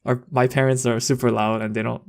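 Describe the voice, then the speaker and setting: Young man, creaky voice, podcast co-host, casual slang, rambling delivery. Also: mid-range voice, level tone, a little fast. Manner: informal, rambling. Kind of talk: casual chat, anecdotes with slang.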